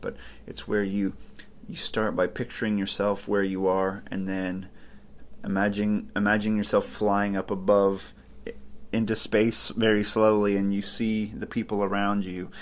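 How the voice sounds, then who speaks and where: Man, low unhurried podcast voice. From Ohio, United States. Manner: relaxed, thinking aloud with frequent fillers and restarts. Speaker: podcast host. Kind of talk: casual podcast commentary on philosophy.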